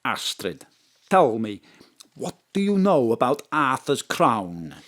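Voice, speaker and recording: Statesman in his sixties, genial, eccentric, courtly, very varied pitch, audio drama. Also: big pitch swings, slow, fairly bright tone. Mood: earnest, proud. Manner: expansive, apologetic, courtly. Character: genial, statesmanlike 65-year-old male Welsh president, eccentric enthusiast.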